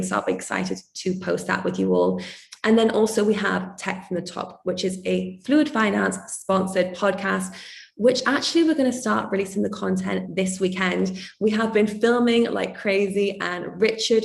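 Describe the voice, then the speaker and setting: A woman moderating an online community call, upbeat and enthusiastic, her voice slightly croaky. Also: medium pitch, echoey room.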